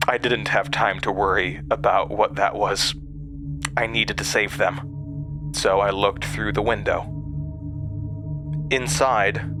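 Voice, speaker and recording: An actor playing a haunted man recounting a terrifying memory in a horror audio drama, halting and hesitant. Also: fairly low pitch, bright, forceful tone. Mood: grim, fearful. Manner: halting, hesitant, with stammered restarts.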